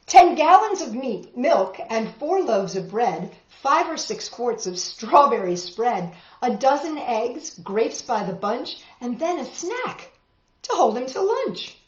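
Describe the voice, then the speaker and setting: A woman reading picture books aloud to children, expressive and exclaiming, lingering on words and adding chatty asides. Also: on the high side, takes it slowly. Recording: dull, echoey room recording.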